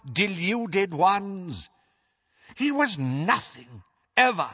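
Silky hissing evil lord, man doing the voice, slow and mocking, higher than usual, muffled recording. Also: bright, forceful tone, no echo, exaggerated voice. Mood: gloating. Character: soft-spoken hissing dark lord, male, cold and cruel.

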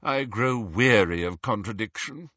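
A man's voice: fairly low pitch, expressive, a little slow, pushed and bright, dry recording, lo-fi sound, heavily acted. A man voicing a haughty old male professor in a talking portrait from a storybook, with a pompous, disdainful and formal manner. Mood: sneering, weary.

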